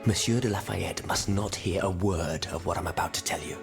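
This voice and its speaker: An actor playing a solemn, persuasive revolutionary in a video game, measured and formal. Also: male voice, deep voice, vocal fry. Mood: discreet, persuasive. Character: grave, solemn French revolutionary leader, discreet and persuasive. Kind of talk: video game cutscene acting. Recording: game music behind.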